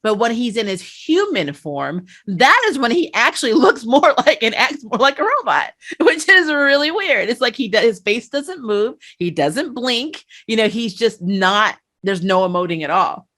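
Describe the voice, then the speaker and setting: A woman hosting a casual fan review, chatting in an animated, storytelling way. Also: a little high, moderate pace, hardly any pauses. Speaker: talk show host and interviewer.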